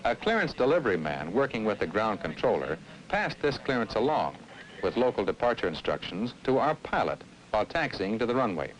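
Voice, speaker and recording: A man's voice: on the low side, very animated, a little fast, low-quality recording. An actor playing a patient airline man, explaining procedures in scripted educational film narration. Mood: instructive. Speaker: aviation professional explaining a flight to a passenger. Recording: old film soundtrack, faint background noise.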